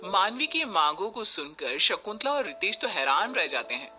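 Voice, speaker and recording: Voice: mid-range voice, big pitch swings, normal speed, sharp tone. A narrator reading a scripted moral story in a storytelling style. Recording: music bed behind the voice.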